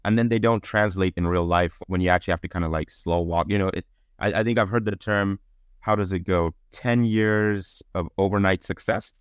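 Very deep-voiced man, explaining conversationally. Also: steady tone, fairly quick, clean tone, no room sound, studio quality. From Zambia, later Belgium. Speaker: podcast guest, entrepreneur. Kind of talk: conversational interview answers. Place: indoors on a video call.

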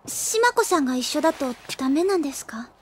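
A woman's voice: high voice, animated, quick pace, slight background noise. A woman playing an earnest, easily flustered, self-doubting teenage schoolgirl in an anime audio drama. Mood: concerned. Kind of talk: anime audio drama acting, with inner monologue.

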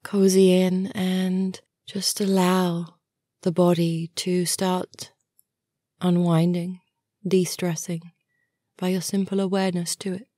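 A woman guiding a meditation in a soothing, gentle and unhurried manner, soft and breathy at the ends of phrases. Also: lowish voice, little pitch movement, no echo, clean studio sound. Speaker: yoga nidra meditation guide, channel host. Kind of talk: guided meditation narration.

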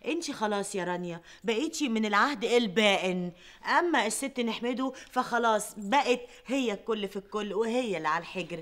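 A woman's voice: medium pitch, expressive, quick pace, a bit bright, lightly acted. A woman playing a sarcastic, mocking Egyptian sister-in-law.

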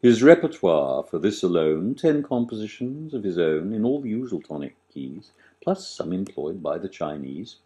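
An older man recites satirical rhyme in a low voice that swings widely in pitch. He takes his time, leaning on key words with theatrical relish and then dropping away. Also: warm tone. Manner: dramatic, deliberate delivery with emphatic swells and drops. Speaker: author reading own verse. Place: small living room, on a sofa, indoors.

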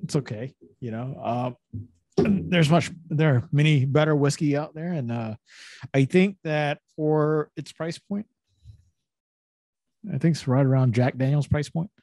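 A man's voice: fairly high pitch, measured pace, a little mellow, no room sound. A man co-hosting a casual podcast over a video call, joking and teasing his friends and swearing freely. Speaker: podcast co-host. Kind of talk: casual chat. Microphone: video call with desk microphone.

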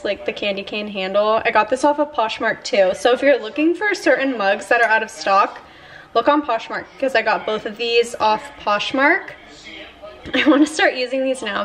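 A woman's voice: mid-range voice, fairly bright tone. A woman in her twenties, chatting casually to the camera as a lifestyle vlogger, upbeat and full of "like" fillers. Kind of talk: casual vlog chat to camera. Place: home kitchen, then parked car.

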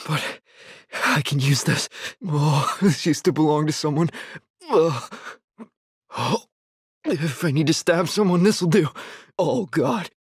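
A young man struggles to survive in a horror video game. His voice is very rough and breathy, with urgent, tense delivery. He sounds frightened but set on getting out, in a dry, close recording. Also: on the high side, slow, long pauses, dry recording, exaggerated voice. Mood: uneasy, resolute. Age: young adult. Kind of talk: dramatic game voice lines.

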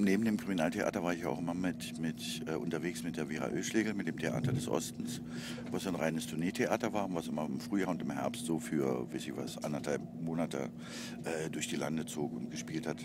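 A stage actor from Berlin answering interview questions in a halting way, full of fillers and restarts, with loud noise in the recording. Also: male voice, extremely low pitch. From Berlin, Germany. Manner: halting, many fillers and restarts, soft trailing asides.

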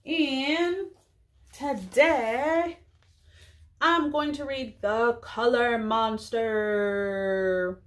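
A young woman, a preschool teacher, speaking to small children in a deliberate read-aloud style with drawn-out words. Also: fairly high pitch, a bit bright. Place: small indoor room.

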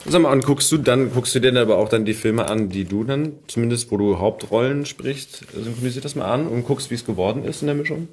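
A man's voice: medium pitch, fast, hardly any pauses, a little mellow. A young man hosting a podcast in a casual, conversational interview style. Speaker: podcast host.

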